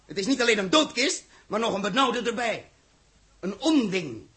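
An actor playing a blunt, sarcastic space captain in a 1960s Dutch radio play, with a husky voice. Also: male voice, high-pitched, big pitch swings, normal speed, pushed and bright, exaggerated voice. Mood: defiant, scornful. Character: blunt, hot-headed male space captain, sarcastic toward experts. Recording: old 1960s radio drama recording.

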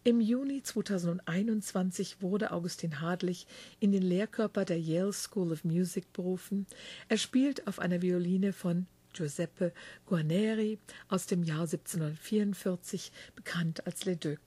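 Mellow, husky-voiced German woman host. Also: fairly low pitch, normal speed, dry recording. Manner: chatty, warm-hearted reading with small slips and self-corrections. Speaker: radio host. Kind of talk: reading a guest biography, then interviewing. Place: backstage dressing room.

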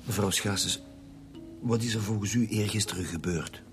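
Guy acting as blunt flemish detective boss, lowish voice, radio play with music under it. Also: moderate pace, natural voice. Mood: probing, businesslike. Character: blunt, commanding male chief homicide detective. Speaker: actor in a radio serial.

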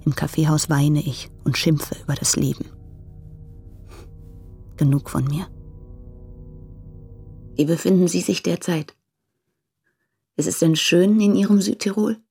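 An actress playing a proud, candid, impoverished young translator in a German radio play, speaking deliberately in a breathy voice. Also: female voice, low voice, fairly even intonation, dark tone, faint music behind, exaggerated voice. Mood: restless, curious. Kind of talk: German radio play, reading letters aloud.

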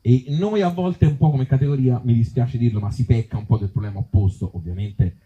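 A man with a Tuscan accent talking informally at a live event as an actor and author, in halting, circling phrases with blunt joking asides. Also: mid-range voice, dark tone, highs cut off. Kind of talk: informal live talk with the audience. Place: outdoor evening event in an olive grove.

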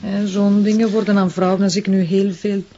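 An actress playing an affectionate pregnant wife with a Flemish accent, intimate and playfully coaxing, in a radio drama. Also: female voice, medium pitch, little pitch movement, moderate pace, dark tone, muffled recording, a little hiss or hum, lightly acted. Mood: tender, playful. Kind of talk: naturalistic radio drama dialogue.

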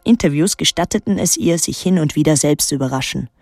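An actress playing a wary, withdrawn teenage daughter who narrates her island story in a measured, introspective radio drama style. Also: low-pitched, creaky, a little mellow, no room sound, studio quality. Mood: reflective.

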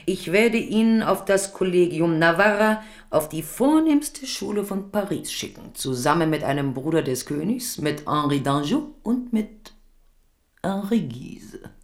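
Scheming queen mother for radio drama: very slow, low-leaning, swooping delivery, condescending. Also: animated. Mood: commanding, pleased. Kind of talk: German radio play acting.

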